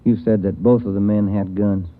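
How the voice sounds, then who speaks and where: An actor playing a steady, plain-spoken police detective partner in a 1940s radio drama. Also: male voice, on the low side, moderate pace, close to an everyday voice. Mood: easygoing. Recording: thin phone-like 1940s radio, hissy.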